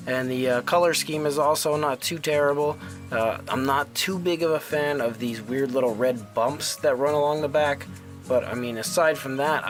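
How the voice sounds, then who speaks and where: A man hosting a toy review, his voice a little raspy from a cold, talking enthusiastically with frequent "uh" fillers. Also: medium pitch. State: sick with a cold, slightly raspy. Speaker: toy reviewer, channel host. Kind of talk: casual product review.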